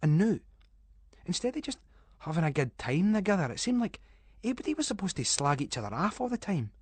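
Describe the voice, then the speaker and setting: A man's voice: high voice, very animated, fast, husky, no echo. A man with a Scottish accent giving a dramatic, suspenseful narration of a story in colloquial Scots dialect.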